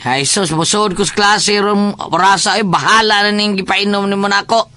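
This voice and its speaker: A man's voice: high voice, steady tone, normal speed, sharp tone. A man playing a talkative, teasing, grumbling schoolboy in a comic audio drama. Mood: teasing, complaining. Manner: teasing, exclamatory, chatty.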